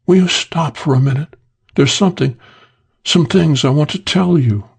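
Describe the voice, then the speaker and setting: Man voicing an earnest, higher-pitched femme fatale. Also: moderate pace, vocal fry, breathy edge, full character voice. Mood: pleading. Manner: earnest, confessional. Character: beautiful young woman crook, pleading and earnest.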